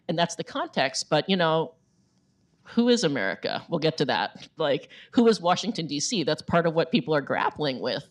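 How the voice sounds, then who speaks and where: A woman author giving a conversational book talk in an informal way, often checking in with "right?". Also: sits low. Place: bookstore event before an audience.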